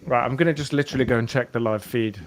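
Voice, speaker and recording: A man's voice: mid-range voice, very fast. A man hosting a live podcast, joking and self-mocking as he runs the show. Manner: playful, self-mocking, talks to himself in two voices. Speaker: podcast host. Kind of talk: live podcast banter and intro.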